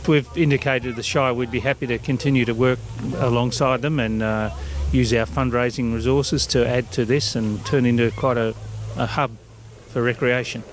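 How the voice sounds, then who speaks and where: A man's voice: medium pitch, steady tone, normal speed, a little hiss or hum. An Australian man, a club president, answering a news interview in a plain, unpolished way with some fillers.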